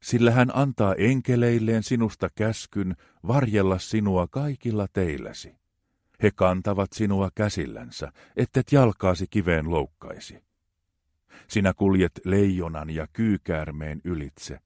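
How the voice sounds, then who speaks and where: A man with a very low, deep voice reads psalms slowly and reverently. The sound is dry and close, with no room echo. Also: a little mellow. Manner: slow, measured, reverent reading. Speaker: scripture reader. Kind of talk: solemn reading of psalms.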